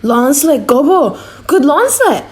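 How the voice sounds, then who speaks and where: A woman plays a bold little tempter devil in a comic audiobook. The voice jumps high and exclaims urgently, goading its listener on. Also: big pitch swings, normal speed.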